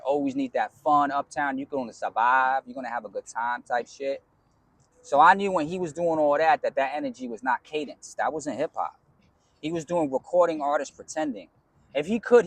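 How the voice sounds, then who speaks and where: A man's voice: mid-range voice, animated, fairly quick, no room sound. A man from the Bronx with a New York accent, talking animatedly as an interview guest, sometimes half-singing his lines. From the Bronx, New York City. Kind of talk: casual interview.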